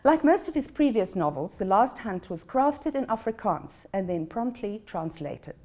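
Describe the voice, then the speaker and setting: Female interview host, mid pitch, mellow tone, friendly and encouraging. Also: sing-song, normal speed. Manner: friendly, with brief encouraging backchannels. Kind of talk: interview conversation.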